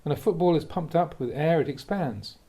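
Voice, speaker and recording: Lively, mellow-voiced male dharma reader. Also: fairly high pitch, a little fast. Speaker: Buddhist monk reading teachings. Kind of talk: reading a dharma talk aloud.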